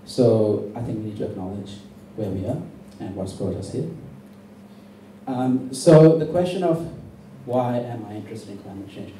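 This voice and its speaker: A man from Sri Lanka with a very dark, muted voice delivers an intense political speech. He is emphatic and deliberate. He is heard from a distance in a heavily echoing room. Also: lowish voice. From born in Sri Lanka. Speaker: campaign speaker at a public meeting. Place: indoor public meeting venue. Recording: very echoey, distant, faintly noisy hall recording.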